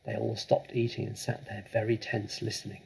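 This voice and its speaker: A male storyteller with a very husky voice and plenty of gravel. He reads scripted children's fiction expressively, with dramatic delivery. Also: on the low side, flat delivery, a little fast, poor audio.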